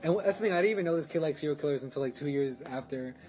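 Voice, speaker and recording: A man's voice: a little high, racing, darkish tone, faint music behind. A young man guesting on a podcast, telling a story in casual chat, rambling, restarting and swearing, with laughs mixed in.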